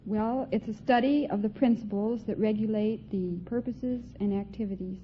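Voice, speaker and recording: Young female American contestant, medium pitch, earnest and courteous, dull vintage radio with clear hiss. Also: fairly even intonation, moderate pace. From California, US. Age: young adult. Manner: polite, earnest. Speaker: quiz contestant, student. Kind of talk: answering a host on a radio quiz. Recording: muffled old radio with hiss.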